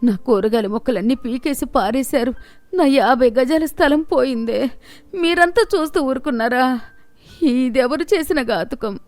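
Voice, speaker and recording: A woman's voice: high voice, normal speed, a little mellow, no room sound, close to an everyday voice. A woman voicing a poor, caring, outspoken village vegetable seller in a storybook tale, plaintive and homely. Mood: indignant. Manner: plaintive, emphatic, homely scolding.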